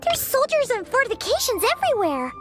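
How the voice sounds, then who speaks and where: A woman voicing a tiny, childlike fairy companion in a video game, excitable, blunt and chatty, full of exclamations. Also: very high-pitched, fast talker, over music. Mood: wary, eager. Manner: exclaiming, chatty, refers to herself by name.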